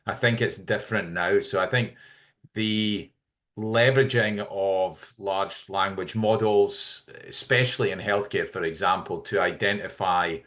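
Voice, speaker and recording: A middle-aged man with a Scottish accent, giving measured, explanatory answers in a conversational interview as a podcast guest. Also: deep voice, fairly even intonation, a bit bright. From Scotland. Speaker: podcast guest, tech company CEO.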